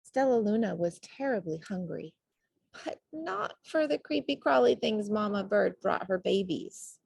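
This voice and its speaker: A woman reading a children's storybook aloud as an expressive narrator. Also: mid-range voice, a little slow, gravelly, husky, no room sound. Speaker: storybook reader.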